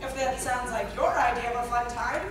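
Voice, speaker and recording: A woman playing a grand, portentous announcer, narrating a radio adventure serial deliberately and theatrically. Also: fairly high pitch, fairly even intonation, background noise, close to an everyday voice. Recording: very echoey hall from far off.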